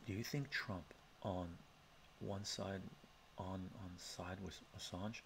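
Middle-aged canadian guy, very low voice, soft chatty asides, slight room hiss, bookshelf study. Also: steady tone, takes it slowly, vocal fry. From Canada, of Armenian descent from Iran. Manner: soft-spoken, chuckling, chatty asides with frequent "right?". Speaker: live-stream host reading chat. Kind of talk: relaxed ASMR live-stream chat. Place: home study lined with bookshelves. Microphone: webcam framing at desk.

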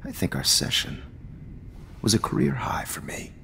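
An actor playing a cold, arrogant middle-aged American photography teacher turned killer in a video game, smug, measured and menacing, with a breathy near-whisper. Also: male voice, fairly low pitch, very animated, pushed and bright, full character voice. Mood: calm, sinister. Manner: smug, measured, menacing, prone to sudden outbursts. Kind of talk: dramatic video game acting. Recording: reverberant room with ambient noise.

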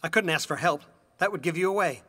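A man's voice: on the high side, quick pace, pushed and bright. A man playing an anxious middle-aged husband, pleading and exasperated, in a dubbed stage drama. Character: anxious, pleading husband afraid of losing his job. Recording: reverberant hall-like sound.

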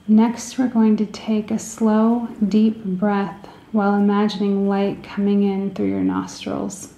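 A woman leading a guided meditation in a soothing, gentle way, with deliberate phrasing and pauses, in a roomy, echoing recording. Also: medium pitch, level tone, vocal fry, a little hiss or hum.

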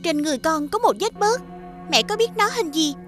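A sweet young fairy-tale heroine, earnest in every line. The voice is extremely high and bright, closely recorded with faint music. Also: female voice, lively intonation, a little fast. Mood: resolute. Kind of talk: animated fairy tale dub acting.

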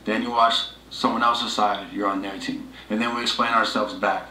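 A man co-hosts a loose chat from a room at home, emphatic and a little repetitive. His voice is bright and forward, and the room gives it an echo with faint hiss behind. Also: on the low side, steady tone, moderate pace. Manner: emphatic, loose, repeats himself. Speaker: co-host. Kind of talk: casual chat. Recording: roomy room sound.

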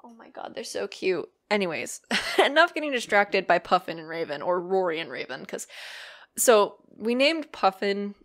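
Young woman podcasting solo at home, kinda hoarse, lots of um and like, rambly. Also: mid-range voice, sing-song, normal speed, fairly bright tone. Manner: rambling, many ums and likes. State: slightly hoarse. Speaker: podcast host and roleplay series writer. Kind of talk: casual solo podcast chat.

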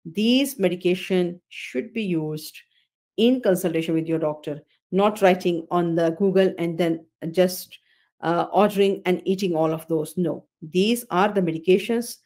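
A woman's voice: fairly low pitch, measured pace, darkish tone. Woman doctor lecturing on a video call. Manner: explanatory, repeats phrases, frequent fillers and self-corrections. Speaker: OB-GYN consultant hosting a webinar. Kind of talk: webinar lecture over slides. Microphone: computer mic on a video call.

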